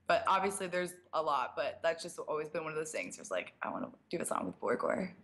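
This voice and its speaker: A young woman, a DJ, chatting casually through a live-stream Q&A, slightly raspy and sprinkling her talk with "like". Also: low voice, sing-song, fast, a bit bright. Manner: chatty, fills speech with "like" and small laughs. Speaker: DJ and producer. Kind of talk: casual live-stream Q&A, reading viewer questions.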